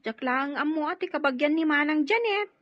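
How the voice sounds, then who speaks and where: A woman's voice: sits high, animated, fairly quick, dry recording. A woman with an Ilocano accent acting a deferential gang underling in an audio drama. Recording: dull lo-fi track, faint music.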